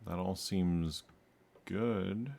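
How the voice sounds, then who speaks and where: A low, gravelly male voice talks slowly, half to himself, as he works through game code. He stops, restarts and corrects himself mid-thought while he debugs. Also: darkish tone. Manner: muttering to himself, halting and self-correcting. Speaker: game programmer. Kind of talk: thinking aloud while coding.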